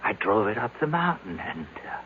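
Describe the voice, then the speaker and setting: An actor in an old-time radio drama playing a frightened, broken aide aged to seventy, halting and pleading in a frail voice. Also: male voice, lowish voice, very animated. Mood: dazed, haunted. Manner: halting, pleading, repeating himself. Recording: muffled, noisy old radio broadcast recording.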